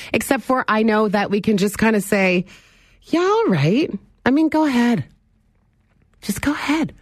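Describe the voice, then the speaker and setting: A middle-aged woman hosting a morning radio talk show, chatty and animated as she talks straight to her listeners. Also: mid-range voice, dry recording, studio quality. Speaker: radio host. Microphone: studio microphone.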